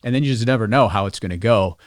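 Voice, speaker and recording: Fast-talking man, casual and low-voiced. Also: no echo, studio quality. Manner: rambling, informal, slangy. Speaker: podcast guest. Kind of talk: casual podcast chat.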